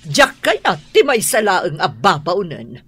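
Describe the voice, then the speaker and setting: A woman's voice: fairly low pitch, big pitch swings, slow pace, a bit bright, breathy edge, exaggerated voice. A woman acting a stern, controlling wealthy mother in a radio drama, speaking in a commanding, scolding way. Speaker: Madam Carla, employer and mother.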